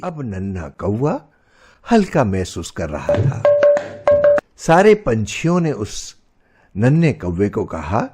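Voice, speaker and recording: A man narrating a scripted children's story as storyteller, expressive and didactic. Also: mid-range voice, slightly rough.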